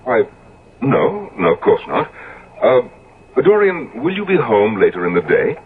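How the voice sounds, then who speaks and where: An actor playing an older, genial London portrait painter in a 1970s radio drama, effusive and earnest. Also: male voice, medium pitch, wildly swooping pitch, measured pace. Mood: taken aback. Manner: effusive, exclamatory. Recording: noisy, narrow-band old radio recording.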